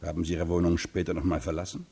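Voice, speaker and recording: Brusque detective, radio crime play: very low, gravelly, direct, audible ambience behind. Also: male voice, little pitch movement, fast talker, warm tone, heavily acted. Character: brusque male police detective questioning witnesses. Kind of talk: German radio crime drama acting.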